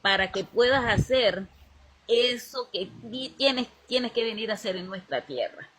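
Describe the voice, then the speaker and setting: A woman's voice: a little high, lively intonation, unhurried, a bit bright, husky. A woman speaking in a casual spiritual chat, rambling and searching for words as she restarts her sentences. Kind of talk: casual conversation about spirituality.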